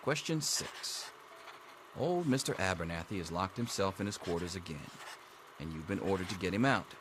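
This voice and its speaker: An actor playing a dry, sarcastic schoolteacher running an exam in a video game. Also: male voice, sits low, animated, moderate pace, background noise. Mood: droll, reciting. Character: sardonic male vault schoolteacher giving an exam. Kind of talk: scripted video game character dialogue.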